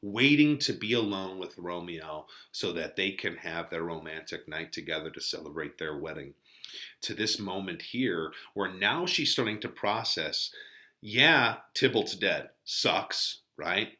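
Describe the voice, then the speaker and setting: A man's voice: low-pitched, expressive, measured pace, sharp tone. A man teaching in a conversational, informal way, giving casual commentary on literature.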